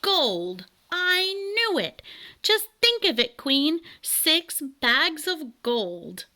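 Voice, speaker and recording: A woman voicing a pompous, excitable male canary king from a storybook, commanding and self-important. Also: sits high, extremely slow, pitched above the performer's own voice, full character voice. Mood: gleeful, greedy.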